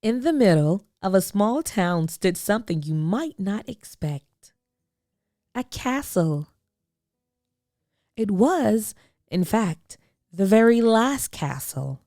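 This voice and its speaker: Slow-paced woman reading a picture book aloud. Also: mid-range voice, sing-song, warm tone, dry recording, studio quality. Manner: slow, deliberate phrasing with dramatic pauses. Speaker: children's story reader.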